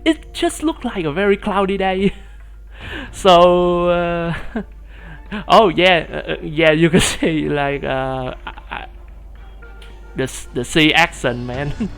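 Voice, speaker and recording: A man with a youthful, somewhat high voice talks casually on a live stream. He rambles, restarts his sentences and fills gaps with "uh" and "like," laughing often as he goes. Soft music plays faintly under him. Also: slow, vocal fry, clear, resonant tone. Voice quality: youthful, boyish voice.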